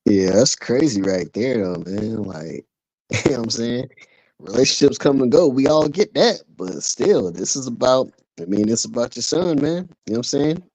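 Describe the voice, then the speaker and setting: A man's voice: mid-range voice, lively intonation, moderate pace, a little mellow, dry recording, low-quality recording. A man co-commentating in casual chat, laid-back and colloquial, often adding tag phrases.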